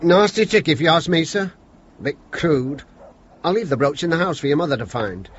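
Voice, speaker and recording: An actor playing a polite, hesitant but shrewd British chauffeur in a radio play. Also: male voice, fairly high pitch, animated, brisk, lightly acted. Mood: quietly shrewd. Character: deferential but shrewd working man, an elderly lady's chauffeur. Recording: dull radio recording, faint noise.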